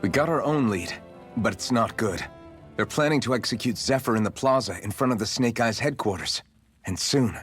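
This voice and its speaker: Earnest young rebel hero. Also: male voice, medium pitch, lively intonation, normal speed, slightly rough, faint music behind. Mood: urgent, resolute. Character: earnest, determined young male rebel fighter. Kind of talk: video game cutscene acting.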